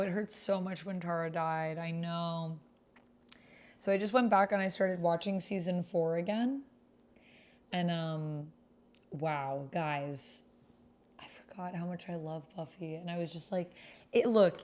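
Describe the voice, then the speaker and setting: A woman's voice: fairly low pitch, fairly even intonation, unhurried. A woman streamer chatting casually and reading out her typed roleplay in a gushing, sentimental manner. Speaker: streamer playing a text-based roleplaying game. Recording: clear background noise, keyboard typing. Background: computer keyboard typing.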